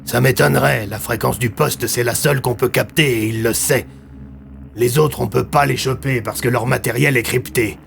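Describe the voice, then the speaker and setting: A man playing a down-to-earth police commissioner in an audio fiction, gravelly, matter-of-fact and colloquial but courteous. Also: medium pitch, fairly even intonation, moderate pace, fairly bright tone, a little hiss or hum. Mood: practical, calm.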